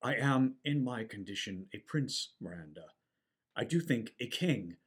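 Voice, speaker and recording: An ardent young prince, acted by a man wooing in Shakespearean stage verse. His voice sits at a middle pitch, and his delivery is courtly, ornate and full of earnest devotion. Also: animated, a little slow, breathy edge. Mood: adoring. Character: gallant, ardent young shipwrecked prince in love. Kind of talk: theatrical Shakespearean stage acting.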